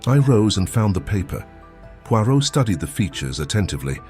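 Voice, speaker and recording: A man's voice: lowish voice, sing-song, brisk. A man reading a first-person story as a scripted audiobook narration. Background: soft music.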